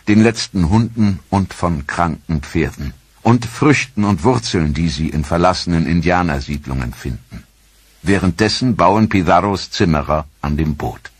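A man narrating a history documentary in a measured, even storytelling delivery. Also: low-pitched, breathy edge, no echo.

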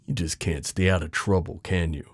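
Guy playing a protective knight in a romance asmr roleplay, low husky voice, urgent n pleading. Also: fairly even intonation, moderate pace, no room sound. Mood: alarmed, fierce. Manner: urgent, pleading, hushed reassurance. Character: devoted protective male knight, desperate, tender lover. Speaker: audio roleplay performer.

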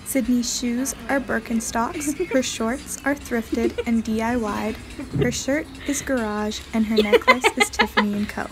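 A woman's voice: on the high side, expressive, measured pace, over music. A young woman vlogging casually with friends, bubbly and joking, filmed on a handheld phone. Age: young adult. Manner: bubbly, jokey, reads off outfits. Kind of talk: casual vlog chat. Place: apartment and car.

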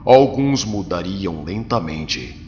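Brazilian male narrator for a comic: low voice, animated pitch, reverberant. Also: takes it slowly, lo-fi sound, slight background noise. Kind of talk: dramatic scripted comic narration. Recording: long reverb, music behind. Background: music.

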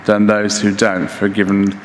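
A man in his sixties giving a conference keynote lecture in a measured, halting way, pausing mid-phrase. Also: lowish voice, monotone. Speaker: keynote speaker. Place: large conference hall stage, indoors. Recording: echoey hall with reverb, background noise.

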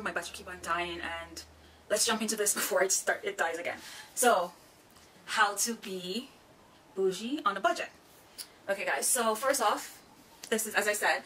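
A Jamaican woman in her thirties, chatting excitedly as she shows off thrifted bags and shoes while sitting on the floor of a small room. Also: medium pitch, distant mic, a little hiss or hum, poor audio. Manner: chatty, excitable, giggly asides. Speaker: fashion vlogger. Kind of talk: casual thrift haul vlog. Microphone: camera mic from a few feet away.

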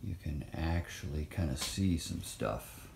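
A man's voice: rumbling low, lively intonation, a little slow, creaky. A man giving a casual, offhand walkthrough as he films inside an empty trailer on his phone. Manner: offhand, chatty, thinking aloud. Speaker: vlogger filming a trailer renovation. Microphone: handheld phone camera. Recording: echoey bare room, faint hiss.

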